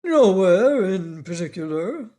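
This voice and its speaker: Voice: mid-range voice, sing-song, slow pace, mellow. A reader voicing a pompous, learned male insect who lectures in grand, formal phrases. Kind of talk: audiobook character voice.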